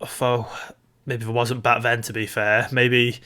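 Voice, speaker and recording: A man with a bright, clear-cut edge to his voice and a middling pitch. He co-hosts a podcast and talks casually, as in a relaxed discussion of films. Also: a little slow. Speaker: podcast co-host.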